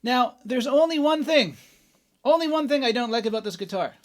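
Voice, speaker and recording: A man's voice: very high voice, expressive, brisk. A man hosting a casual guitar review, chatty and enthusiastic, with loose fillers and exclamations. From Canada. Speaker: host, guitarist reviewing gear. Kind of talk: casual gear review talk. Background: acoustic guitar playing.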